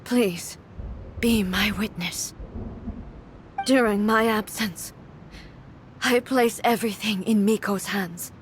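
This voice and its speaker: A woman playing a resolute immortal warrior ruler in a video game, speaking in a formal, measured and grave manner. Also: medium pitch, background noise, natural voice. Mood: solemn vow. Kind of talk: scripted video game voice acting.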